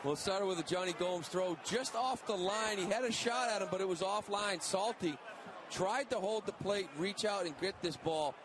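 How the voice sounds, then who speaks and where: A man with a high voice analyzes the game as a radio color commentator. He talks conversationally, with loud ballpark noise behind him. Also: moderate pace. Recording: very noisy ballpark radio broadcast.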